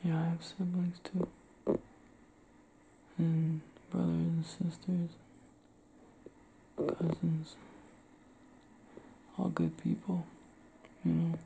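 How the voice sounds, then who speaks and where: Soft-whispering young man, gravelly low tones. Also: completely flat delivery, very slow, long pauses, slight background noise, poor audio. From Cleveland, Ohio. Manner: soft, intimate, often whispered talk with small laughs. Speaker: ASMR roleplay creator. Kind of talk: ASMR roleplay. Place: small room indoors.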